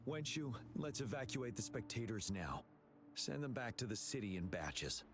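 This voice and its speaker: A man playing a calm, commanding young general in a video game, speaking in a measured, formal, authoritative way. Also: mid-range voice. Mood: grave, composed. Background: game music.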